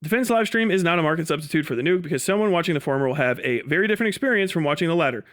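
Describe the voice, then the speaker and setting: A man's voice: on the high side, lively intonation, rapid-fire, fairly bright tone. A man hosting a livestream, giving off-the-cuff commentary in an emphatic, repetitive, argumentative way with casual swearing. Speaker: live stream host.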